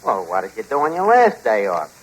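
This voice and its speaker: An actor playing a wisecracking, put-upon, slangy tavern manager in an old-time radio comedy. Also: male voice, fairly low pitch, huge pitch swings, brisk. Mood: exasperated. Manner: colloquial, ungrammatical, dry. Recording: noisy vintage radio recording.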